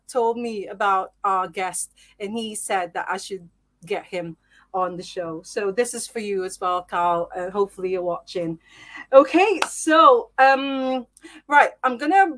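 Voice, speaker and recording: A woman with a high voice hosts a live podcast. She sounds nervous and giggly and fills her sentences with "um." She talks over a video call. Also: a bit bright. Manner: nervous, lots of ums, giggly. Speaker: podcast host. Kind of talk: casual live podcast hosting.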